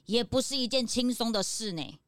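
A woman's voice: on the high side, expressive, normal speed, dry recording, studio quality. A woman voicing a curious, chatty, childlike young sidekick in a children's animal detective audio drama.

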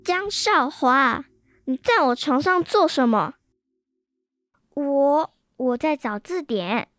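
A woman's voice: sits high, animated, takes it slowly, a bit bright, dry recording, faint music behind, heavily acted. A schoolgirl acting a whiny, indignant young sister in a children's radio drama. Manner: whiny, protesting.

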